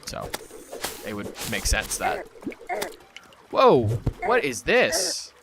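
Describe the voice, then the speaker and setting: A young man from Puerto Rico talks slowly and casually while playing a game, drifting from topic to topic and reading messages aloud. Game music plays clearly behind his voice. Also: medium pitch, huge pitch swings. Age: young adult. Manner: rambling, easily distracted, reads chat aloud. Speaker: streamer. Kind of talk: casual gaming livestream chat.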